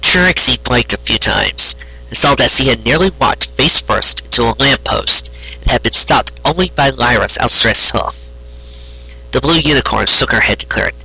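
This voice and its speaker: A man narrating a scripted story aloud in a rough, husky voice. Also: mid-range voice, expressive, normal speed, pushed and bright, poor audio. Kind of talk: scripted fan fiction narration.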